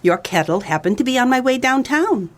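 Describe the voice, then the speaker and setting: A woman's voice: on the high side, lively intonation, a little fast, close to an everyday voice. Brassy, lilting noir dame played by a woman. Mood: smug, teasing. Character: Peaches, brassy, breezily menacing noir dame. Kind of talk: comedic noir radio play acting.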